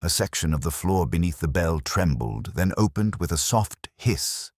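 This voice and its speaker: A man with a very deep, husky voice narrating a scripted story in a dramatic, literary manner. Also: expressive, normal speed, no room sound, studio quality.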